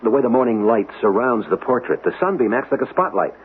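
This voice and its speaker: Actor as an earnest, lively-pitched radio-drama man. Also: quick pace, close to an everyday voice. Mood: absorbed. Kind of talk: 1970s radio drama acting. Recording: dull lo-fi radio, faint noise.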